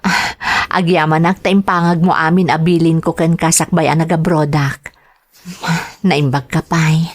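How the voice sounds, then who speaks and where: Ilocano big sister in a radio soap, long-suffering, dutiful, very emotional delivery. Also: female voice, low-pitched, flat delivery, normal speed. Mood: gentle, sorrowful. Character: Edna, dutiful elder sister, overseas worker, long-suffering. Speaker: radio drama actress.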